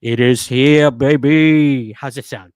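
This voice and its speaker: A man with a calming, middle-pitched voice hosts a livestream, chatting upbeat and easy with his viewers. He speaks close to a studio microphone in a dry room with no echo. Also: takes it slowly, clear, resonant tone. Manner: upbeat, thanks viewers and reads chat aloud. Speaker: livestream podcast host. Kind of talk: casual livestream chat with viewers. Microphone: new studio microphone.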